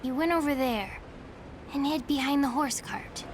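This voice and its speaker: A woman voicing a guarded, distrustful orphan girl in a video game, terse, blunt and deadpan. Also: high voice, moderate pace, a little hiss or hum, close to an everyday voice. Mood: flat, cool. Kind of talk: video game character acting. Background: loud music.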